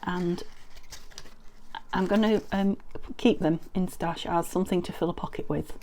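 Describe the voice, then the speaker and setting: A middle-aged woman from the UK with a croaky voice from a summer cold, chatting in a rambling, friendly way through a craft tutorial with many ums and restarts. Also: fairly low pitch, a little slow, darkish tone, slight background noise. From United Kingdom. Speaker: crafting vlogger.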